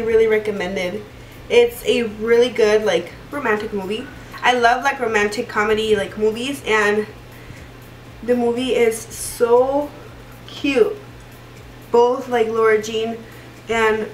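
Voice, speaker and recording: A woman's voice: on the high side, recorded from a distance, a little hiss or hum. Stuffy young woman rambling through a makeup tutorial. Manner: chatty and rambling, many fillers and restarts. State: stuffy from a cold. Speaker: beauty vlogger. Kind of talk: casual makeup tutorial chat. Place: small room indoors. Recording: roomy echo, voice a bit off-mic.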